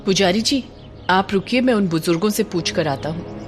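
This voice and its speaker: A woman's voice: medium pitch, moderate pace, music in the background, close to an everyday voice. A woman voicing a courteous, eager young man with questions in an audio drama. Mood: polite, eager. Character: polite, eager young village man on a search.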